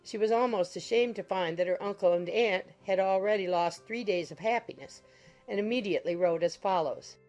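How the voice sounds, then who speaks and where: Lady narrator reading a period novel audiobook, mid pitch voice, music in the background. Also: brisk, a little mellow, low-quality recording.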